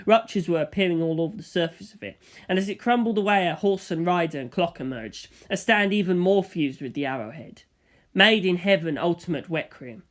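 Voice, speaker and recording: A narrator reads fiction aloud in an expressive storytelling style. The voice sits at a middling pitch, between typical male and female ranges, and tells the tale in the first person. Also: a little fast.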